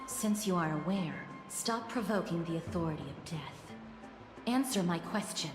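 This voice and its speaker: A woman's voice: lowish voice, expressive, normal speed, close to an everyday voice. A woman playing a stern, wary death priestess in a video game, speaking formally and with guarded command. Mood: threatening, stern. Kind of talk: video game character acting. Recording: echoey long reverb under clear music.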